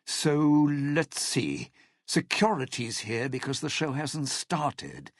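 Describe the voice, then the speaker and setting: An actor playing a cynical, self-serving lounge singer in a video game, with a raspy, gravelly voice and a wry, theatrical, menacing delivery. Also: male voice, medium pitch, big pitch swings, slow, dry recording, studio quality. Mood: agitated, scheming. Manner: wry, theatrical, hesitant muttering turning to threats. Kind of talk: video game character dialogue.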